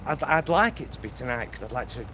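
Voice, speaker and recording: A man's voice: mid-range voice, big pitch swings, fast talker. A man chatting casually to his phone on a selfie stick while walking through his neighbourhood, rambling with lots of fillers. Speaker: vlogger. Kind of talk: casual walking vlog chat. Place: outdoors on a street in his neighbourhood. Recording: phone recording with street noise. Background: traffic and street noise.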